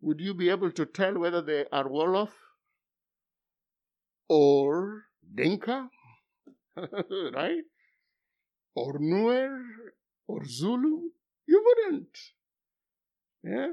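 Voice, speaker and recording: An older man, a philosophy professor whose first language is Luo, speaking haltingly in an interview, then pressing with emphatic rhetorical questions. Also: high voice, big pitch swings. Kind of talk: academic interview. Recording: dull lo-fi recording.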